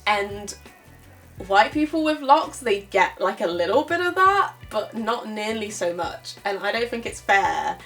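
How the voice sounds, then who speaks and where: A woman's voice: mid-range voice, big pitch swings, unhurried, a bit bright. Female panel speaker, candid explainer giving opinions informally, slightly distant mic with music underneath. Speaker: panel speaker answering audience questions.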